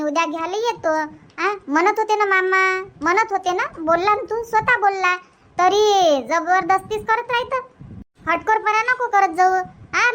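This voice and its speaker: A woman's voice: extremely high pitch, a bit bright. A woman voicing a busy young village mother in a cartoon comedy, nagging and repetitive, with a Varhadi Marathi accent.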